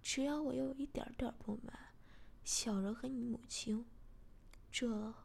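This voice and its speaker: A woman voicing a calm, domineering young man in a whispered storybook reading. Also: on the high side, vocal fry, breathy edge, exaggerated voice. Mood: cold, controlling. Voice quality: whispered, measured.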